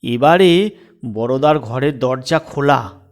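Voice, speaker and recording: A man's voice: medium pitch, slow pace. A man narrating a comic story as a dramatic scripted reading.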